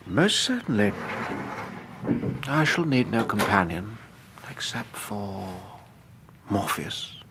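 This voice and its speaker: A languid, educated invalid in a British radio play. He speaks slowly and floridly with a varied melody, over clear noise. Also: fairly low pitch, big pitch swings, exaggerated voice. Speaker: actor in a radio drama. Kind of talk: British radio drama acting.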